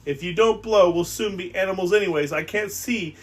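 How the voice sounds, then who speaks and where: A man reading aloud and voicing an anxious, fretful schoolboy whose voice rises toward a squeak. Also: brisk, a little hiss or hum, lightly acted. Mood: pleading. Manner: pleading, anxious, voice rising.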